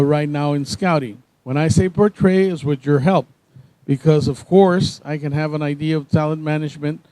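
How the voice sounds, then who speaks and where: A man from Panama opens a workshop in a mellow, slightly raised voice. He talks in halting phrases, and the recording is dry and close. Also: on the high side, clean tone, no room sound, poor audio. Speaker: workshop facilitator. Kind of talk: opening a workshop session.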